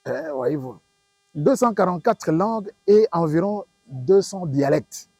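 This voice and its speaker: A man's voice: a little high, animated, unhurried, dark tone, slightly rough, no room sound. A man with a Congolese French accent, a professor explaining in an interview with emphatic, didactic repetition and rhetorical questions. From Democratic Republic of the Congo. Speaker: professor, linguistics expert.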